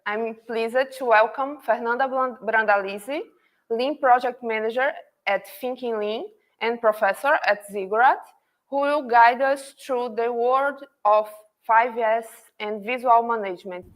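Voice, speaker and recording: A woman with a fairly high voice opens an online event as its host, speaking formally and politely. She reads from a script and sometimes restarts a word. She is heard through a video call. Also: unhurried. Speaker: event host, institutional manager. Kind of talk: formal webinar welcome.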